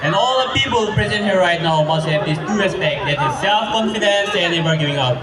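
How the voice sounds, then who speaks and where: Man, eighteen, contestant; proud rehearsed intro with laughs, high-pitched, far off in an echoing crowded venue. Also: animated, fairly quick. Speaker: pageant contestant. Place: festival stage. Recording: distant echoey PA with loud crowd.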